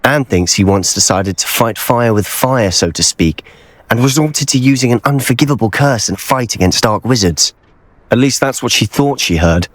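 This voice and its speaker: An actor playing an earnest, secretive teenage wizard student in a video game, speaking in a measured, persuasive way. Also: male voice, mid-range voice, lively intonation, no echo, close to an everyday voice. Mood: earnest, determined. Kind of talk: video game cutscene acting.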